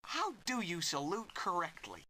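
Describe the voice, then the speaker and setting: A wry cowboy adventurer. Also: male voice, high voice, wildly swooping pitch, a little slow, sharp tone, exaggerated voice. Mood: curious. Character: wry male cowboy adventurer, curious and chatty. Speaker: adventure game protagonist. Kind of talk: 1990s adventure game voice acting.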